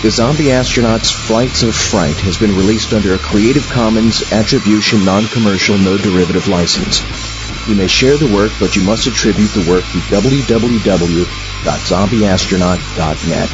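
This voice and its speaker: Male announcer for closing credits, low register, formal scripted read over a guitar bed. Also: moderate pace. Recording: dull audio, loud guitar music behind. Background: plucked guitar music.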